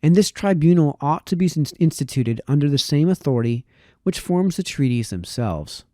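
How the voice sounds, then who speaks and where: A man reading a formal historical text aloud in a measured way, now and then stumbling over a word. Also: on the high side, expressive, dark tone, no room sound, clean studio sound. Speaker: reader.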